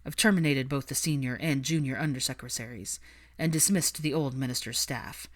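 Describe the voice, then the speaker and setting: A woman voicing a weary, authoritative male government minister in a narrated story, speaking formally, firmly and with measured phrasing. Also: rumbling low, pitched below the performer's own voice.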